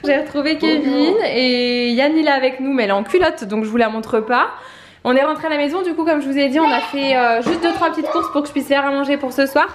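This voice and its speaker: A woman's voice: high-pitched, fast talker. A chatty woman filming herself at home on a handheld camera, her voice thick and stuffy from a head cold. She rambles along casually and laughs often, with faint background noise. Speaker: vlogger. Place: home, indoors.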